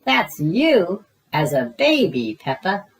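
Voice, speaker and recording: A woman voicing a gentle, patient mother pig who speaks kindly. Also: on the low side, huge pitch swings, slow, pitched above the performer's own voice, exaggerated voice. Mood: fond.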